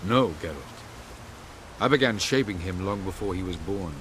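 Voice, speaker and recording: An actor voicing a haughty, calculating old elf sage in a video game, speaking in a formal, imperious and measured way. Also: male voice, low-pitched, slight background noise, close to an everyday voice. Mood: proud. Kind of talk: dramatic video game dialogue.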